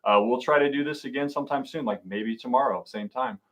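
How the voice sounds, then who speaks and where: Male teacher-host for a live school broadcast, medium pitch, introductory remarks. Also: fairly quick. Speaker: teacher hosting a student broadcast.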